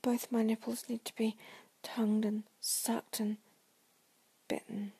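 A woman in an intimate erotic audio roleplay, speaking to the listener in a breathy, often whispered voice with a sultry, coaxing manner. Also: fairly high pitch, flat delivery, slow pace, mellow, no room sound.

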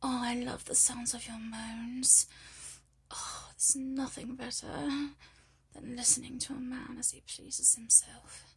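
A British woman whispering intimately in a slow, breathy murmur, in an erotic audio style. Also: on the high side, little pitch movement. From United Kingdom.

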